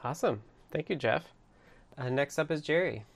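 A man's voice: a little high, lively intonation, normal speed. A man hosting a casual online team meeting, passing the turn along and giving updates, sometimes reading from notes. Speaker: meeting host.

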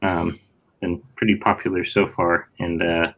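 A man with a lowish voice presents his own project casually. He is a guest maker, somewhat hesitant, and often lets his sentences trail off. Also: flat delivery, unhurried, creaky, a bit bright, poor audio. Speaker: show-and-tell guest, maker and seller.

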